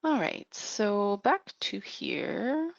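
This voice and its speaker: A woman presenting a software demo in a webinar, explaining step by step off the cuff with halting pauses. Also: fairly high pitch, expressive, no room sound, muffled recording. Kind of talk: unscripted software demo narration.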